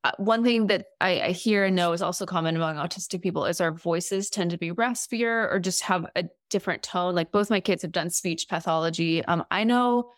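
A woman hosting a podcast in a raspy voice, curious and affirming as she asks questions. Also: on the low side, a little fast, hardly any pauses, no echo, studio quality. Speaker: podcast host. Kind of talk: casual podcast conversation.

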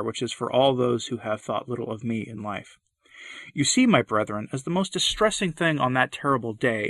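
A man's voice: medium pitch, a bit bright, no room sound. A man reading a sermon aloud in a solemn, impassioned, old-fashioned declamatory style. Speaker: preacher.

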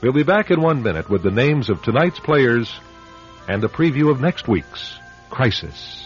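Soft music plays behind a slightly dull radio recording of an American man. He narrates as the host of a drama series in a medium-pitched voice, with expressive rises and falls in a scripted delivery. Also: moderate pace. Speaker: host, writer and director.

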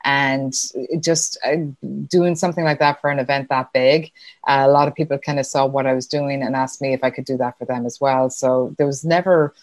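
This is a middle-aged Irish woman with an Irish accent, talking loosely as a guest on a podcast. Her voice sits low and she laughs through her story. The sound is dry, with no room in it. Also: fairly even intonation, brisk, dry recording. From Ireland. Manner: chatty, laughs often, many fillers. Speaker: podcast guest, sponsorship consultant. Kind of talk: casual interview chat.

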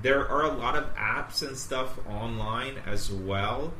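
A man speaking as an interview guest, explaining things thoughtfully in a conversational, halting way and repeating words as he goes. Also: medium pitch, bright, forceful tone. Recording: echoey room with faint background noise.

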